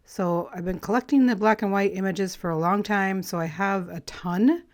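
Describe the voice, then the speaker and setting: Woman vlogger, slightly raspy and throat-clearing, relaxed rambling crafting chat. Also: mid-range voice, moderate pace. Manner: relaxed, rambling, friendly, with light laughs. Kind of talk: casual chatty voice-over while collaging.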